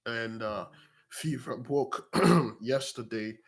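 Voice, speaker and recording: A man leads a religious livestream in a hoarse, congested voice of average pitch. He has a bad cold and addresses his followers in a formal, reverent way. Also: slow pace. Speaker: religious teacher hosting a livestream.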